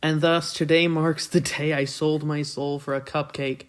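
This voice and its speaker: A man's voice: fairly high pitch, little pitch movement, normal speed, clear, resonant tone. A young man reading a visual novel aloud for a gaming video, with crude, irreverent asides. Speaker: let's play narrator.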